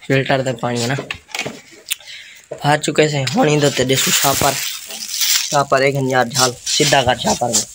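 A man's voice: medium pitch, fairly even intonation, measured pace, pauses often. Young Saraiki man, noisy outdoor recording. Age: teens to young adult. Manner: informal, repetitive running commentary. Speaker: vlogger, host. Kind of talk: casual village vlog chat. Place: outdoors in village lanes, fields and walled yard. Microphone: phone at arm's length. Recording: noisy handheld phone recording.

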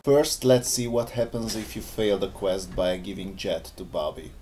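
A man's voice: low voice, normal speed, a little mellow, slight background noise. A man giving scripted video narration, presenting a game mod as its maker.

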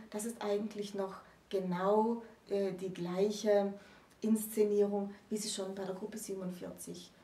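Woman, 30s, co-host lecturing informally; relaxed dark tone, deliberate pauses, mic some way off. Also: mid-range voice. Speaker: co-host explaining literature. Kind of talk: unscripted explanatory lecture. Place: small book-lined room indoors. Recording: roomy, distant microphone.

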